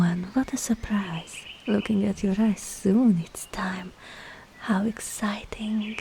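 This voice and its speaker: A woman playing a scheming, seductive witch in a whispered ASMR roleplay, breathy and teasing, with falsely soothing reassurance. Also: mid-range voice.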